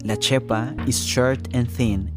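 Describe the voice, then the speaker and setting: A man narrating a first-person podcast story in a measured way as its reporter. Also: mid-range voice, steady tone. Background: music score.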